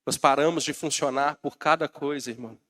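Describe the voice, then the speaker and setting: A man's voice: a little high, fairly even intonation, fairly quick, fairly bright tone. A Brazilian man preaching a sermon as pastor, rousing and calling out to his congregation, while he has a bad cold. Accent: Brazilian Portuguese.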